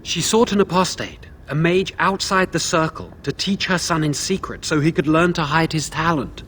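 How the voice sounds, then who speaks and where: An actor playing an anxious, guilt-ridden young mage prisoner in a fantasy video game, earnest and pleading. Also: male voice, on the high side, expressive, normal speed, a bit bright, a little hiss or hum. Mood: anxious explaining. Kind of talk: dramatic fantasy game dialogue.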